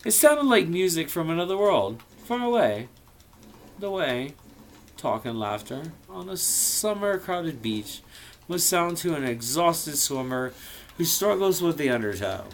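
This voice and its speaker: A man's voice: a little high, big pitch swings, unhurried, slight background noise. A man casually reading a novel aloud, theatrical and playful, dropping in irreverent asides of his own. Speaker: amateur reader of a novel.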